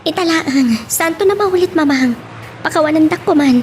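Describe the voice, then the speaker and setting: A woman plays a contrite young granddaughter in an Ilocano radio drama. Her voice is high, with faint background ambience as she confides in her elders. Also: normal speed, darkish tone, a little hiss or hum, lightly acted. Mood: apologetic.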